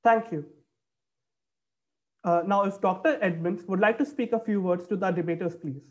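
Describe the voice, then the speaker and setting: A man's voice: high-pitched, normal speed, muffled recording. A young man moderating a debate on a video call, reading formal announcements from a script. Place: indoor room, video call.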